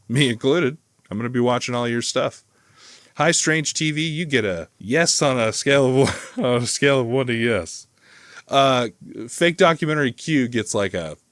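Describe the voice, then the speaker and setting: A man's voice: mid-range voice, fairly bright tone, no echo. A man giving casual, rambling and enthusiastic reaction commentary as a video host, swearing freely. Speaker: video host reviewing horror channels.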